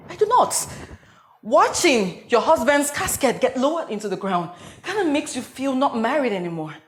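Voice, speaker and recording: A woman performing a dramatic comedic monologue as a frazzled young wife and mother who rambles and interrupts herself in a meltdown. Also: on the high side. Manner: rambling, flustered, self-interrupting. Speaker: actor performing an audition monologue.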